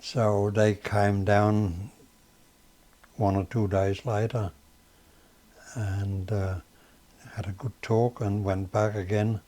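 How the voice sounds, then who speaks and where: An older man with a Danish accent, a native Danish speaker, recalling his life in a slow, halting way with many pauses and fillers. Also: lowish voice, little pitch movement, mellow. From Denmark. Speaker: father being interviewed. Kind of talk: casual reminiscing interview.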